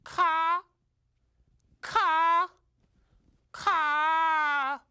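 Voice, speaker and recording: A woman voicing a sweet, bashful mama crow in a storybook, giving high, coy bird caws. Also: fairly even intonation, very slow, bright, forceful tone, no echo, clean studio sound, heavily acted. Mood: tender. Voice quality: high cawing bird calls.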